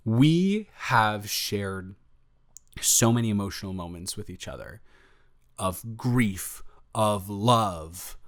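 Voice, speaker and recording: Man playing an excitable, affectionate young goofy boyfriend. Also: fairly low pitch, heavily acted. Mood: excited, adoring. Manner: effusive, rambling, stumbling over words, playful slang. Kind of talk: improvised boyfriend roleplay audio, casual intimate chat.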